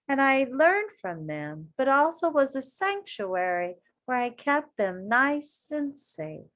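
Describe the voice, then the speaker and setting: Slow, higher-pitched woman reading to children. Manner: expressive, deliberate, addresses young listeners directly with questions. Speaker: elementary school teacher reading a picture book aloud. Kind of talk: children's story read-aloud with asides to listeners.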